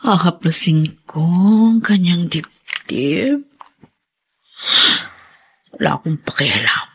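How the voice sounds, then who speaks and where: A woman's voice: on the low side, slightly rough, exaggerated voice. An actress with a Filipino accent playing a scheming, lustful, tormented mother in a radio drama. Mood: seductive, scheming.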